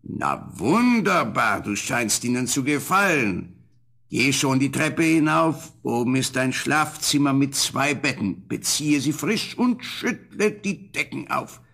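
Slow, husky old man. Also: medium pitch, very animated, full character voice. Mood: pleased, kindly. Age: older. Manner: unhurried, gently commanding. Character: kindly old man of the forest house. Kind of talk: 1970s German fairy-tale radio play.

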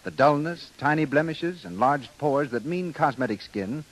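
Higher-pitched American radio announcer, a man. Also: lively intonation, normal speed. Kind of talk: 1930s radio announcing, scripted.

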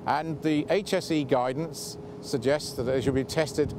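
A man's voice: a little high, steady tone, a little slow. Middle-aged male expert, noisy outdoors. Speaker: expert, head of a laboratory unit. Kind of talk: prepared explanation to camera. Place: outdoors on a city rooftop. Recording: clear outdoor city background noise.

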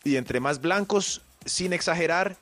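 A man's voice: on the high side, unhurried. A man hosting a comic radio segment, teasing and playful as he banters with his co-hosts. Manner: playful, teasing, animated delivery. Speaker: radio host.

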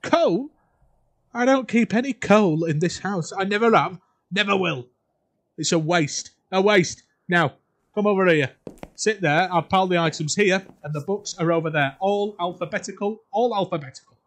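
An actor playing a miserly old uncle in an audio drama, querulous and scolding, often repeating himself. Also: male voice, high-pitched, moderate pace. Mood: grumbling, bossy. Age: older. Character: miserly, crotchety, grumbling old uncle who hates Christmas.

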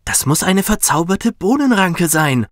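A man as an eager, high-voiced young boy. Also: sing-song, a little slow, no room sound, clean studio sound. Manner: polite, eager.

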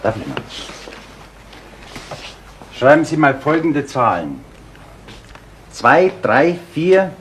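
A methodical police detective with a slightly high, animated voice. He questions insistently in a vintage radio crime play, with some noise behind him. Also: slow pace, long pauses, full character voice. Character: persistent male police detective questioning a suspect. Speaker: police interrogator. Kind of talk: 1970s German radio crime drama acting. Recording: noisy 1970s radio play recording.

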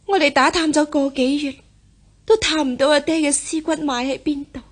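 An actress playing a resolute, devoted young gentlewoman in a historical radio drama. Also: sits high, steady tone, moderate pace, close to an everyday voice. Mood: anxious, longing. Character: resolute, devoted young daughter of a gentry household.

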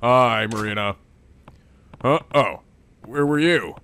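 A man voicing a stoic, gruff male yakuza hero in a video game, talking low, flat and serious. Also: slow pace.

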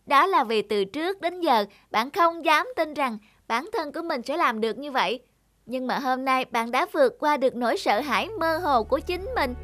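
High-pitched, kindly little witch girl. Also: expressive, moderate pace, fairly bright tone, no room sound. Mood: encouraging. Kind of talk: cartoon dialogue.

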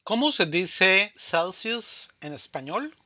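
Male language teacher running a slow drill, bright tone, dull lo-fi dry recording. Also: sits high, big pitch swings, no room sound. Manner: slow, deliberate, says each answer twice. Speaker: Spanish teacher. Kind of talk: scripted vocabulary drill.

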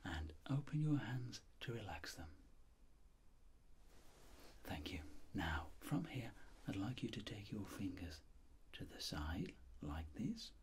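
A middle-aged man speaking in soft whispered ASMR, playing a clinic doctor giving gentle, unhurried instructions. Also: lowish voice, big pitch swings, slight background noise.

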